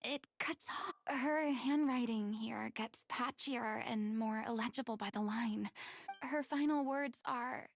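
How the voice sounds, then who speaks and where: A woman's voice: fairly high pitch, unhurried. A woman playing an earnest, compassionate young investigator in a video game, speaking thoughtfully. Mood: somber.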